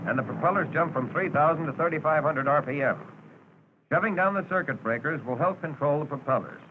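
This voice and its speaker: An American man narrating a 1940s military flight training film in a clear, instructional way. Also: fairly high pitch, brisk, bright, forceful tone. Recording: noisy 1940s film soundtrack.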